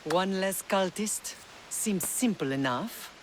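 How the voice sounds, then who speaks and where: A woman's voice: mid-range voice, expressive, slow, background noise. A woman voicing a proud, composed mature mother in a video game. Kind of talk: dramatic video game dialogue. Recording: game soundtrack music behind the voice. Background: music.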